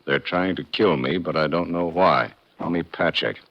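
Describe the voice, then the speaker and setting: An actor playing a gloomy, harried prison warden in a 1950 radio drama, weary and blunt. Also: male voice, deep voice, normal speed, dull audio. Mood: grave. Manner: weary, blunt, matter-of-fact. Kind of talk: 1950s radio drama acting.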